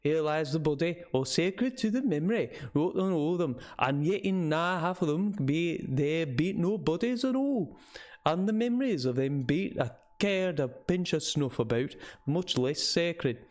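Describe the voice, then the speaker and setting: A man's voice: fairly high pitch, animated, a little slow, darkish tone. A man voicing a self-satisfied, scornful old village man in a thick mix of northern English and Scottish dialect. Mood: gleefully scornful. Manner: rambling, preachy, showing off in thick dialect.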